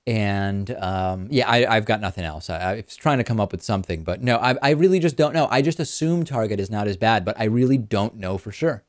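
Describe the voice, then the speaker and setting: A man born in Argentina whose first language is Spanish, hosting a talk show in a relaxed conversational way and answering listener questions. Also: lowish voice, fairly quick, few pauses. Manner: conversational, repeats words when thinking. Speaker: host. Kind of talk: casual chat, reading listener questions.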